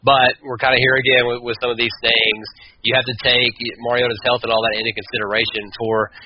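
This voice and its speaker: A man hosting a sports podcast, talking through his analysis casually in a halting way, repeating words and restarting. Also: medium pitch, level tone. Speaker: podcast host. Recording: muffled, clearly noisy, radio-like audio.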